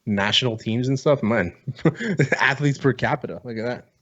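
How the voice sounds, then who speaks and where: A man co-hosting a sports podcast in casual banter, blunt, opinionated and quick to swear. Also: mid-range voice, fairly bright tone, dull audio.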